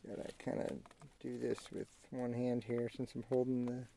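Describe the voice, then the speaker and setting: A man talking through a hands-on tutorial in a casual, halting way, thinking aloud as he works. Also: mid-range voice, monotone, dark tone. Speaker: amateur how-to demonstrator. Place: inside a parked vehicle. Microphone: handheld camera mic. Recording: clearly noisy amateur camera audio, clicks.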